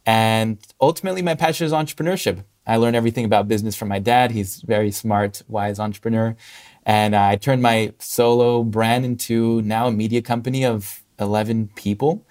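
Upbeat young man, low voice. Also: a little fast, darkish tone. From grew up in Russia, Spain and Hong Kong. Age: 20s. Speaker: podcast guest, content creator. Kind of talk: podcast interview.

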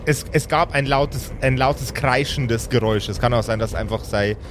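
Man, game master, mid-pitched, improvised tabletop narration, noisy background. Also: moderate pace. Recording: clear background noise.

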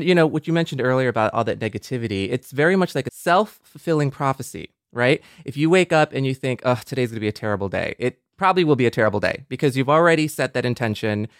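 A man's voice: medium pitch, clear, resonant tone, dry recording, studio quality. A man hosting a podcast in a conversational style. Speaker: podcast host.

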